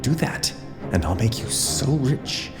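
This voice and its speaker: An actor playing a ruthless, commanding lord and father in an audio drama, speaking in an imperious, measured and menacing way. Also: medium pitch, big pitch swings, reverberant, long reverb tail, music in the background. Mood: seething, commanding. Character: commanding ruthless lord, a father hunting his runaway son.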